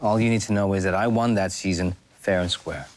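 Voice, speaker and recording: An actor plays a young male racing champion in a video game. His voice is deep. He talks with smug, combative confidence and bristles defensively. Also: steady tone, brisk, heavy background noise, close to an everyday voice. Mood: defensive, cocky. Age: young adult.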